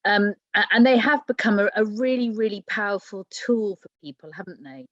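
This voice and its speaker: A woman's voice: medium pitch, fairly quick, no echo, highs cut off. Friendly woman interviewer, dry sound. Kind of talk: conversational interview.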